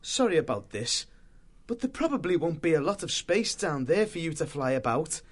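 A man's voice: on the high side, very animated, normal speed, voice pushed up. A man voicing an earnest male warrior mouse leader in a storybook, firm and commanding. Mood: resolute.

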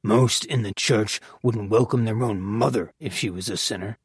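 Guy doing a grumpy gruff hermit priest for an audiobook, curt n scornful, dry sound. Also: fairly low pitch, moderate pace, no echo. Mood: weary, scornful. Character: gruff, irritable, reclusive male priest. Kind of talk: audiobook character dialogue.

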